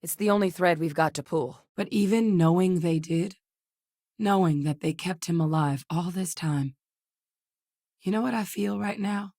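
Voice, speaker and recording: Low-voiced, determined military scientist woman. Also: normal speed, dark tone, no room sound, clean studio sound. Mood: troubled, tense. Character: seasoned, determined female military xenobiologist, guarded and wry. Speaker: video game voice actress. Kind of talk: scripted game dialogue.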